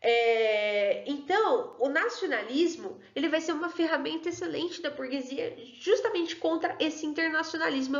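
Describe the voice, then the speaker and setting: A woman teaching an online history class in a chatty way, often checking in with her students. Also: high-pitched. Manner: chatty, keeps checking in with "tudo bem?" and "né, pessoal?". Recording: echoey room, dull lo-fi sound.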